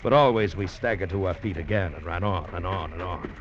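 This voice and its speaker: An actor playing a grave, steady convict who narrates an adventure in measured, tense old radio style. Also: male voice, low voice, a little hiss or hum, natural voice. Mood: grave, suspenseful. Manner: measured, tense, deliberate storytelling. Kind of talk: 1940s-50s radio drama narration and acting. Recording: muffled old radio broadcast, music behind.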